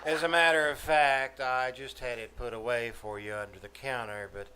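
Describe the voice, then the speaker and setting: An actor playing a mild, folksy older druggist in 1940s-style radio comedy, hesitant and deadpan. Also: male voice, mid-range voice, natural voice. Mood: mild, polite. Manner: halting, deadpan, mild-mannered. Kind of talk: 1940s radio comedy acting before a live audience. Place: small-town theater stage. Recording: noisy live stage recording.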